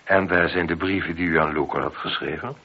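An actor in a radio play voicing a sceptical, commanding police inspector with a dry, sardonic, probing manner. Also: male voice, deep voice, fairly even intonation, background noise. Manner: dry, sardonic, brisk with subordinates. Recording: muffled, lo-fi radio drama recording.

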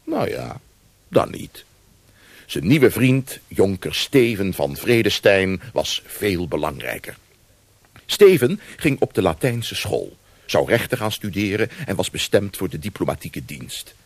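An actor playing a wry storyteller, narrating a radio play expressively with savoured pauses and asides. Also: male voice, low voice, big pitch swings, dry recording, full character voice. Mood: wry, savouring. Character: wry male storyteller of old Amsterdam.

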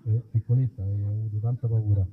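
A man hosting a live outdoor show in an olive grove, talking to the crowd with wry, mock-solemn stage patter. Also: on the low side. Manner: wry, mock-solemn, playfully self-interrupting. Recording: husky voice over a tinny, phone-like line.

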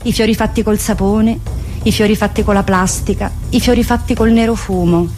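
A woman's voice: mid-range voice, fairly even intonation, fairly quick, warm tone, faint music behind. A woman performing a dramatic monologue in short, declamatory fragments, like a list of remembered phrases. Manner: clipped, declamatory phrases, shifting between voices. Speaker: writer and solo stage performer.

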